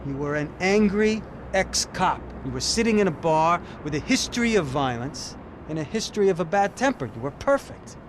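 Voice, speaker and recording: Man playing a sardonic detective, higher voice, noisy street. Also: lively intonation, close to an everyday voice. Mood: mocking. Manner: knowing, mocking, deliberate. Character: shrewd, sardonic Brazilian police detective, worldly and sly. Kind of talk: video game cutscene dialogue. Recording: loud car and street noise behind.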